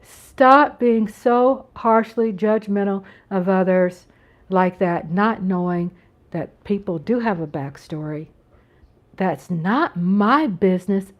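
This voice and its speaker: A woman's voice: medium pitch, takes it slowly, dull audio. An American woman hosting a casual live-stream chat from home, talking in an earnest, rambling way with frequent restarts. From United States. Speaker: channel host.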